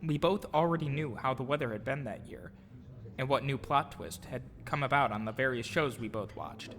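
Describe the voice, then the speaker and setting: A man's voice: mid-range voice, moderate pace, fairly bright tone, in a large hall. A man reading a scripted personal story in a reflective storytelling manner, like an audio drama narrator. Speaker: narrator and audio drama producer-actor telling own story. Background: clear music.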